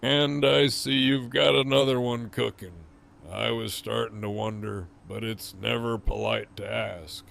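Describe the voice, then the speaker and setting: Casting: male actor for gruff, weary, sardonic captive in audio drama; unhurried, creaky, teasing delivery. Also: medium pitch, fairly even intonation, fairly bright tone, slight background noise. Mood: curious, wry. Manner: slow, wry, teasing drawl.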